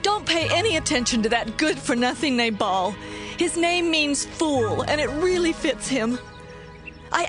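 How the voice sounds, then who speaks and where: A high female voice as a humble, earnest woman of the ancient Bible world. She is deferential and solemn, pleading her case with respect in an audio Bible drama, with music clearly playing behind her. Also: a bit bright.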